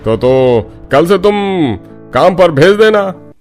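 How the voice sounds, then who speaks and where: A man's voice: a little high, animated, slow pace, faint music behind. A man playing a harried but good-hearted biryani cart owner in a cartoon. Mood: grateful, obliging.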